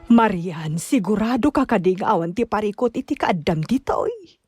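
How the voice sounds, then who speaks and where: A woman's voice: medium pitch, sing-song, moderate pace, darkish tone, close to an everyday voice. An actress with an Ilocano accent playing a protective, worried mother, hurt and indignant, in a radio drama. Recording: dry studio with background music.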